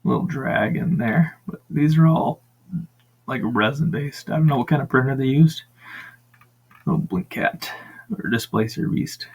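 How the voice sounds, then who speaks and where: A man with a raspy voice casually talking through crowdfunding pages for tabletop games, with lots of ums and uhs. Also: medium pitch, measured pace. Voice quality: frequent ums and uhs, relaxed rambling. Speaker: tabletop gaming channel host. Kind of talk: casual screen-share review.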